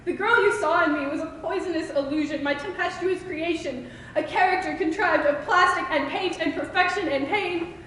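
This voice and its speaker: A teenage woman reciting slam poetry with dramatic, deliberate phrasing in an echoing hall. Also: very high voice, fairly bright tone. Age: teens. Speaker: student poet. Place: school open mic performance in a reverberant room. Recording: echoey hall with faint noise.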